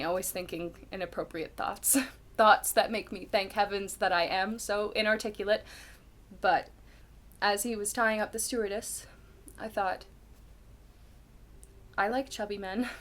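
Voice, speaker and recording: A woman performing a candid, self-deprecating young woman in a dramatic stage monologue, with halting, confessional delivery and dramatic pauses. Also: medium pitch, fairly bright tone. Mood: subdued, haunted.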